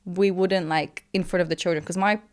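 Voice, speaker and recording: A woman's voice: on the low side, steady tone, a little fast. A young woman from Poland, a guest in a casual interview, chatting in a rambling way with many fillers.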